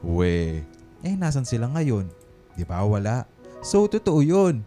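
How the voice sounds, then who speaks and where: A man playing a smug, taunting schoolboy bully in a radio drama, sneering in drawn-out Taglish. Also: medium pitch, very animated, mellow, soft background music. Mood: gloating, sneering. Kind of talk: Filipino radio drama acting.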